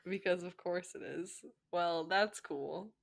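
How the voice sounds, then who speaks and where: A woman's voice: lowish voice, takes it slowly, gravelly. A woman co-hosting a show, casually reading fan fiction aloud with giggly, joking asides.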